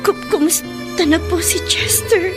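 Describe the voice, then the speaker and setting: A woman's voice: very high-pitched, a little slow. An actress playing a young mother in a radio drama, speaking humbly and tearfully. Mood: humble. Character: Marisa, young mother ashamed of her past. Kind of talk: Tagalog radio drama acting. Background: music.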